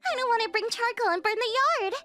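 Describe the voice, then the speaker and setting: A woman's voice: very high voice, expressive, fast talker, pushed and bright, soft background music, exaggerated voice. A woman playing a brazen, entitled neighborhood mom in a manga dub, with a gushing, exclaiming manner. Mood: gleeful, smug.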